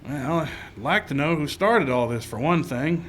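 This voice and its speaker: Radio western lawman man acting: level-headed, skeptical, slightly higher male voice, faint outdoor noise. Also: animated, normal speed, fairly bright tone. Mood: wry, businesslike. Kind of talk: live old time radio western acting. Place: live show in a park. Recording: live outdoor stage, noisy background.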